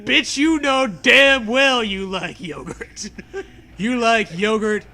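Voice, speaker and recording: Male comedy reader, high and crisp-edged bright voice, unhurried, mock-dramatic with playful banter. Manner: mock-dramatic, breaks into laughter while reading. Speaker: comedy show host. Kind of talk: comic read-aloud of parody fiction with banter.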